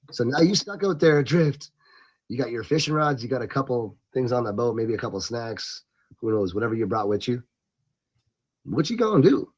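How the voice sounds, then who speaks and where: The audio is muffled and lo-fi, like a streaming app. A man with a medium-pitched voice hosts a live show, animated and informal, using loose slang and talking right to the audience. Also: slightly rough. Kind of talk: casual live hosting, slangy.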